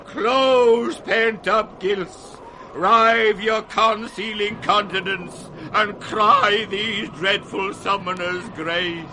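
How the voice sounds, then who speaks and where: An old British king losing his mind in a radio tragedy. His high voice moves very slowly and grandly, each phrase weighty. The old broadcast recording carries clear noise behind him. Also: fairly even intonation, sharp tone, heavily acted. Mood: raging, commanding. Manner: grand, declamatory, slow and weighty. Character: aging tormented king raging at a storm, sliding into madness. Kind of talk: 1960s British radio Shakespeare acting. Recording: noisy 1960s radio recording, storm-like rumble.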